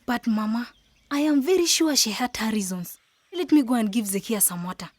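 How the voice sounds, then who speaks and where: A woman playing a gentle, anxious young village woman and caring friend in a radio drama, speaking softly and earnestly. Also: on the high side, animated, fairly quick, no echo. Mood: respectful, wistful. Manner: soft, earnest.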